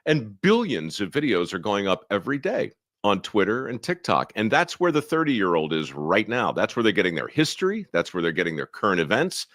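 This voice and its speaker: A man as a podcast guest, talking in a lively, emphatic way and repeating words as he starts his points. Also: lowish voice, a little fast, hardly any pauses, no echo, clean studio sound. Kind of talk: casual conversation.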